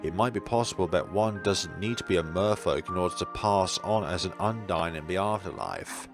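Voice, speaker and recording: Male reader, low register, lively intonation, narrating a visual novel over light music. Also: normal speed. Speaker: video game player reading the story aloud.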